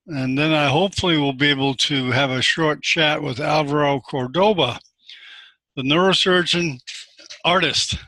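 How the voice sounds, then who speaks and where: A man hosting an online conference, announcing the next presenter in a slow, relaxed way. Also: a little high, no echo, poor audio. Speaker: conference host. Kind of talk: live broadcast moderating.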